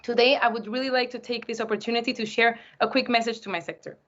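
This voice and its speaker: A woman's voice: on the high side, steady tone, quick pace, fairly bright tone. A woman giving an eager, enthusiastic prepared introduction over a video call. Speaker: sector evangelist. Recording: dull lo-fi sound.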